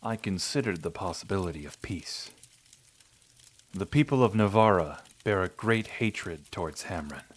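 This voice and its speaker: A man reading scripted first-person narration for an audio drama. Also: sits low.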